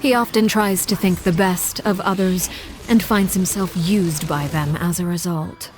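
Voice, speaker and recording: A woman voicing a cold, composed, commanding woman in a video game, speaking in a measured, formal, aloof and quietly menacing way. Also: fairly low pitch, steady tone, slight background noise, lightly acted. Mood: composed, detached. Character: cold, composed, commanding woman, a Harbinger, judging her colleagues. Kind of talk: scripted video game character monologue.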